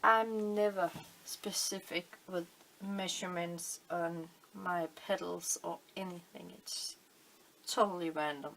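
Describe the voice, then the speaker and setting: Slow, gravelly Danish woman crafting. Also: on the low side, steady tone, fairly bright tone, a little hiss or hum, low-quality recording. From Denmark. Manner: unhurried, thinking aloud with many fillers. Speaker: crafting channel host. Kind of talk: casual craft tutorial. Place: indoors at a table, hands on camera.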